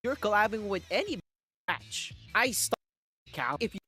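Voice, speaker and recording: Excitable man rambling on a livestream. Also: sits high, normal speed, husky, soft background music. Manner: excitable, rambling, many restarts and "freaking". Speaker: live streamer. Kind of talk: casual chat to a live audience.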